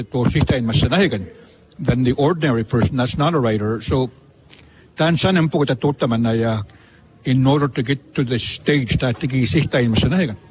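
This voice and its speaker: A man hosting an interview, asking questions in a measured, hesitant way. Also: medium pitch, a little mellow, poor audio. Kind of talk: casual interview talk.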